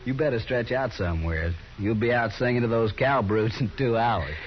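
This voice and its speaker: Hard-bitten trail boss male actor, mid-pitched, gruff and folksy; old radio western, muffled and noisy. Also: moderate pace, lightly acted. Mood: friendly, dry. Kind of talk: 1950s radio western acting.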